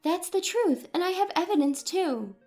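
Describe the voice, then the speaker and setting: A woman's voice: very high voice, lively intonation, normal speed, warm tone, faint music behind, voice pushed up. A woman voicing a determined, defiant young adult daughter who speaks in a plain, resolute way in a read story.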